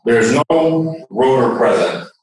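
A man giving an informal technical explanation as a product expert, halting as he searches for words. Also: mid-range voice, expressive, a little hiss or hum. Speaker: product expert guest demonstrating. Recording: echoey room, distant from mic.

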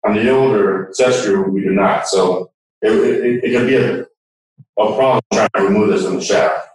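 A man's voice: on the low side, normal speed. A man giving a conversational, hands-on technical demonstration, recorded in an echoey room. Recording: echoey room, distant microphone.

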